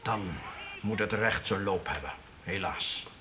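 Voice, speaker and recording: An actor playing a stern but fair medieval count in a radio drama, commanding and formal. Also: male voice, sits low, a little slow, a crowd in the background. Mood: troubled, regretful. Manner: commanding, lordly, formal archaic speech.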